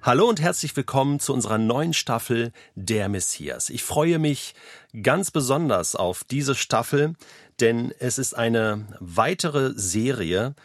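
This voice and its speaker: A man's voice: mid-range voice, animated, measured pace, no room sound, studio quality, soft background music. Man hosting an interview podcast. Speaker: podcast host. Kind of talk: introducing guests and interviewing. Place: studio.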